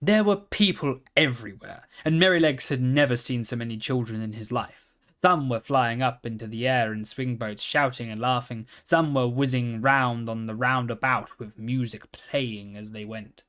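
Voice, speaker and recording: A man reading a children's storybook aloud in a calm, steady manner. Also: mid-range voice, normal speed. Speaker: reader.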